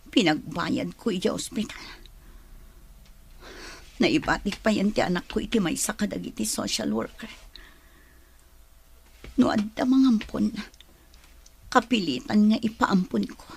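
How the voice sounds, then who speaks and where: A woman's voice: mid-range voice, gravelly, breathy edge, exaggerated voice. An actress with a Filipino accent playing an evasive young mother in a radio drama. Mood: brooding, calculating. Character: evasive young mother denying she gave birth.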